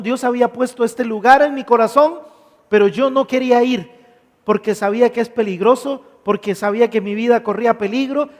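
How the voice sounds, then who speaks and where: A man preaching a sermon, emphatic, stacking repeated phrases. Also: very high voice. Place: church hall. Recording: long hall reverb.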